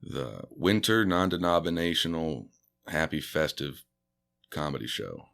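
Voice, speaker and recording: A man's voice: very deep voice, expressive, a little slow, vocal fry, a bit bright. A man who grew up speaking Spanish first, a comedian chatting on a podcast in a laid-back, rambling way full of fillers and restarts. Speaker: stand-up comedian guest. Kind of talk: casual podcast chat.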